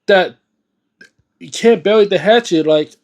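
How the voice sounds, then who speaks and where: A man giving unscripted casual video commentary in a halting, repetitive way, searching for words, earnest and slangy. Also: high voice. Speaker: host of a book reading and discussion series.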